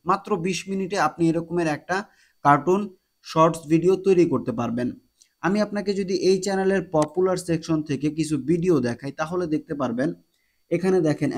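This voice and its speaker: A man narrates a tutorial. His voice sits a little high. He explains things directly to viewers as the host. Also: a little mellow.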